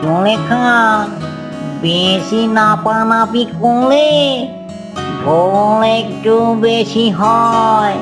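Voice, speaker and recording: A woman voicing a boastful, touchy male giant in a cartoon, scolding and blustering. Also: medium pitch.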